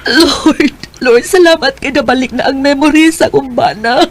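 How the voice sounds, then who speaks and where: An actress playing a devoted, caring middle-aged wife in a radio drama, with a Bisaya Filipino accent. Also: female voice, high voice, normal speed, natural voice. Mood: relieved, emotional. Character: devoted, caring wife, anxious for her ailing husband. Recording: noisy radio drama with background ambience.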